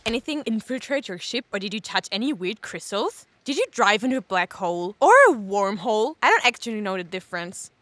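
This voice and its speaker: A woman playing an eager, nosy character in an audio drama, blurting and rambling excitedly. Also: medium pitch, brisk, hardly any pauses. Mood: excited, curious.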